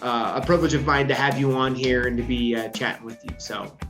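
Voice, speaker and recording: A man's voice: medium pitch, steady tone, fairly quick, fairly bright tone, soft background music. A male show host interviewing a guest, talking casually and enthusiastically with lots of fillers and rambling asides.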